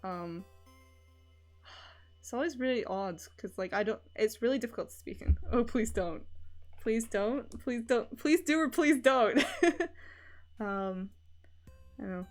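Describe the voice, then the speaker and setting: A woman's voice: a little high, lively intonation, unhurried, long pauses, faint music behind. A young woman from Scotland with a mixed Edinburgh and Canadian accent, chatting candidly and loosely as a streamer. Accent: mixed Edinburgh Scottish and Canadian. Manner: rambling and candid, with loose swearing. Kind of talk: casual stream chat.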